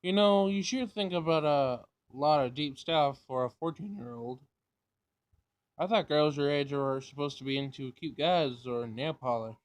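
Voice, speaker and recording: A man reads the part of a young amateur detective in a visual novel, sarcastic and teasing like an older brother. The voice sits slightly high, with a casual read-aloud delivery. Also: normal speed, close to an everyday voice. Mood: teasing, protective.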